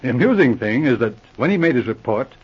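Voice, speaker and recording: A methodical, dry-witted Mountie sergeant with a husky edge and a mid-range voice. He talks with patient, teasing calm in 1940s radio style. The sound is a dull, lo-fi old radio broadcast. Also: medium pitch, fast talker.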